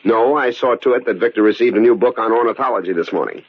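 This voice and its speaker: A man's voice: lowish voice, fast, husky. An actor playing a cold, scheming secretary turned murderer in a radio drama, smug and precise in his threats. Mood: smug menace. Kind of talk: old-time radio drama acting. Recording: dull, lo-fi radio drama recording.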